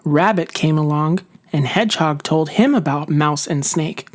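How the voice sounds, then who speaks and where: A woman reading a children's story aloud as an expressive narrator. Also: deep voice, unhurried. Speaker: storybook reader.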